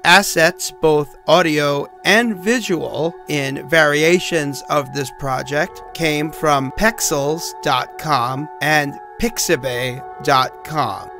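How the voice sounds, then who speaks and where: A male narrator delivers scripted end credits in a measured announcer manner. His pitch sits a little high and his pace is very unhurried. Music plays clearly underneath.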